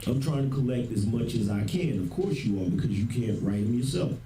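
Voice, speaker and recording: A man's voice: mid-range voice, animated, fairly quick, background noise. A man casually recounting conversations in the first person, informal and slangy with some profanity. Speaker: internet commentator.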